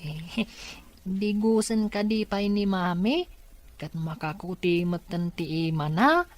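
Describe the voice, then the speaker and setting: Slow-speaking naive young Ilocano niece, a woman acting. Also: medium pitch, expressive. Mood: plaintive. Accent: Ilocano Filipino. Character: naive, questioning young niece living in a mansion. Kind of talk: Ilocano radio drama acting.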